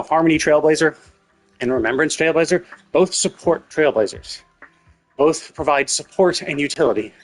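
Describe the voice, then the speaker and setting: A man's voice: medium pitch, rough recording. Rambling male game streamer over music. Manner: rambling, explanatory, repeats and rephrases. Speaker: video game livestreamer. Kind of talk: casual livestream commentary on games.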